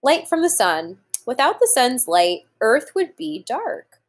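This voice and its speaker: A woman in her thirties reading aloud to young children as a teacher, pausing to explain things simply and deliberately. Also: sits high, big pitch swings. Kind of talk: children's read-aloud lesson with explanatory asides. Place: room at home, indoors.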